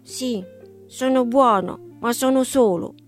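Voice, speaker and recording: Woman plays gentle young male bear, kind and lonely, lively pitch, children's audio story. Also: moderate pace, faint music behind. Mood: sad.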